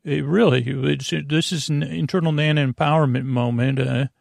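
A man hosting a bedtime podcast in a meandering, halting, self-deprecating monologue with whimsical asides. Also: fairly high pitch, fairly even intonation, creaky, no room sound, clean studio sound. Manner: whimsical, acting out little imaginary exchanges. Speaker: podcast host.

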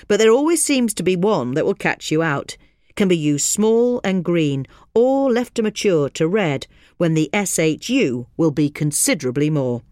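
A woman reading a scripted gardening article aloud in a measured, informative way. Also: low-pitched, darkish tone, dry recording, clean studio sound. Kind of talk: scripted narration, read aloud.

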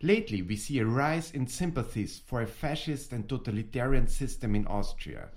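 A man's voice: mid-range voice, big pitch swings, moderate pace, darkish tone. A man co-hosting a podcast in scripted narration. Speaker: podcast co-host. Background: faint guitar music.

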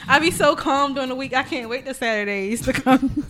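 A woman's voice: high-pitched, a little fast, fairly bright tone. A woman in her twenties hosting a podcast, talking in brash, profane, joking casual chat. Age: 20s. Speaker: podcast host.

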